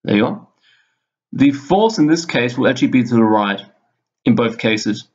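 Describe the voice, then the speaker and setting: A man's voice: mid-range voice, animated, a little mellow. Dull-sounding recording of a man teaching. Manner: thinks aloud, halting step-by-step explaining. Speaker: physics teacher. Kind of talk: informal screen-recorded physics lesson explanation. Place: indoors, screen-recorded voice-over at a computer.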